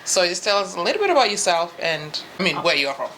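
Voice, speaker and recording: A woman's voice: fairly low pitch, very animated, fast, a bit bright, slight background noise, poor audio. A woman hosting a home cooking show, bubbly and chatty, laughing often. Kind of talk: casual cooking chat. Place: home kitchen.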